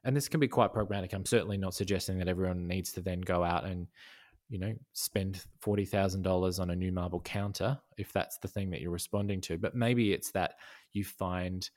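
A man's voice: sits low, fairly even intonation, fairly quick, a little mellow. A man talking as a podcast guest in a casual conversation, thoughtful, with many fillers and restarts. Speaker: podcast guest, design educator.

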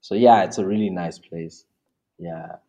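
A man's voice: sits low, measured pace, warm tone, muffled recording. A young South African man talking casually as an interview guest, rambling with many restarts and fillers.

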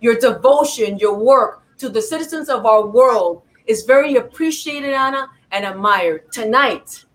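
A woman hosts a talk show and introduces her guest with effusive, repetitive praise. Her voice sits a little high. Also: expressive, a little slow, hardly any pauses.

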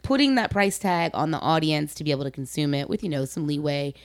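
Casting: female podcast host, low register, earnest and informal, conversational, dry sound. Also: expressive, fast, no echo. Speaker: podcast host and comedy performer. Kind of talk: casual conversational interview.

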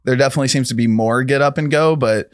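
A man's voice: medium pitch, fast talker, no echo, studio quality. A man hosting a podcast interview, chatty and joking, laughing easily with his guest. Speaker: podcast host. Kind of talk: casual interview chat.

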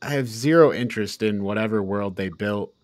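A man's voice: lowish voice, fairly quick, dry recording, studio quality. A man hosting a casual film review podcast, speaking bluntly and informally. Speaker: podcast host.

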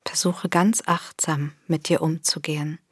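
A woman leads a guided body scan in a soft, breathy voice. Her delivery is very slow, gentle and soothing, with a slightly low pitch. The recording is close and dry. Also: steady tone, no echo. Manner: gentle, soothing, unhurried instruction with long pauses. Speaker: meditation guide. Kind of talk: guided body scan meditation, scripted narration.

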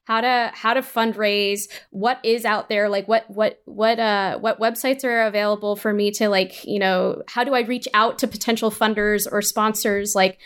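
A woman's voice: medium pitch, fairly even intonation, fairly bright tone, smooth. A woman with a mid-range voice answers conversationally in a panel interview. She works out her thoughts as she goes, stopping and restarting and often repeating words. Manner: halting, thinking aloud, repeats words.

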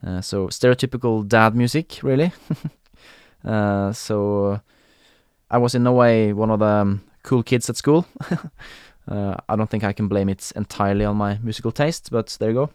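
Man with a Norwegian accent, low clear voice, hesitant casual podcast talk, dry close sound. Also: normal speed, darkish tone, no room sound. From Norway. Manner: hesitant, many fillers and restarts, laughs often. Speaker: podcast host.

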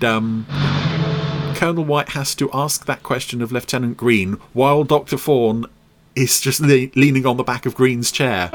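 Wry, animated man commentating casually. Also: mid-range voice. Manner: wry, teasing, mock-exasperated. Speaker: podcast host commenting on an episode. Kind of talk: casual running commentary over a TV episode.

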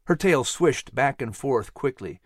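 A man narrating a children's story from a script in an expressive storytelling style. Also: mid-range voice, unhurried, no room sound, clean studio sound. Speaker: storyteller and host.

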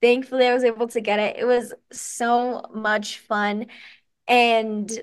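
A woman's voice: on the high side, flat delivery, measured pace, clean tone. A young adult woman, a singer and guest, talking casually and upbeat with lots of fillers and restarts. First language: English. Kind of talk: casual interview chat.

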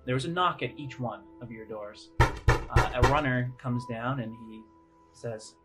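A man acting as game master, describing scenes in a halting, conversational, improvised way. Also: mid-range voice, fairly bright tone. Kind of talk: improvised tabletop game narration. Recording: music playing under the voice. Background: background music.

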